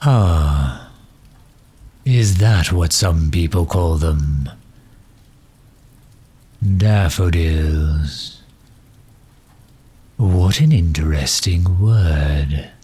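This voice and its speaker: A man's voice: low voice, very slow, long pauses, dark tone, slightly rough, exaggerated voice. A man playing a genteel, erudite villain in an ASMR roleplay, speaking formally and precisely with polite condescension, a courteous and misunderstood sort. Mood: gentle, curious.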